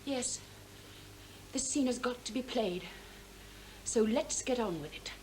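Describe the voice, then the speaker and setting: High-voiced, impetuous, scornful young woman. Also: animated, unhurried, a little hiss or hum, low-quality recording. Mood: commanding. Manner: intense, declamatory. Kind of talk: theatrical radio drama acting.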